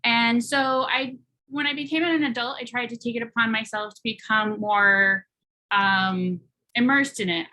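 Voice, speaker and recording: American woman artist on a panel, bright clear voice, earnest, lots of ums and restarts. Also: mid-range voice, poor audio. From United States. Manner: earnest and reflective, many fillers and restarts. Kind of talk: panel talk presenting her art.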